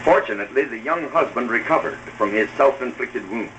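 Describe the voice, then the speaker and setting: A man's voice: medium pitch, expressive, brisk. A man giving scripted advice as a host in a formal, oratorical 1930s radio style. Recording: dull, noisy old film soundtrack.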